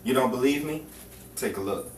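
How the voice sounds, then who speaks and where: A man playing the deliberate, dramatic narrator of a noir newspaper tale in an old-time radio drama. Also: mid-range voice, lively intonation, close to an everyday voice. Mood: measured, dramatic. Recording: distant roomy recording with faint noise.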